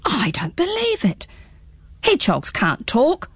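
A bewildered young man out of a storybook, as a woman puts him on, polite and easily startled. He stammers, and the voice sits high and moves a lot. It sounds close and dry. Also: wildly swooping pitch, unhurried, no echo, full character voice. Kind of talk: storybook read-aloud.

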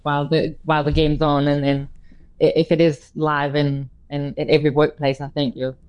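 A woman's voice: low-pitched, level tone, normal speed, darkish tone, clean tone, no echo. A middle-aged woman from Denmark, a former road cyclist, answering radio interview questions hesitantly with many fillers and restarts.

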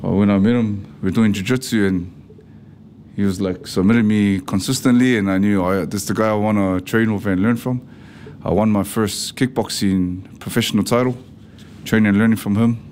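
A man, speaking a little low and feeling his way through each answer with fillers and restarts. Clear background noise runs under his voice. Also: steady tone, darkish tone. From Samoa. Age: 30s. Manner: halting, with many fillers and restarts. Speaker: pro fighter. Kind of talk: documentary interview. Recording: noisy background ambience.

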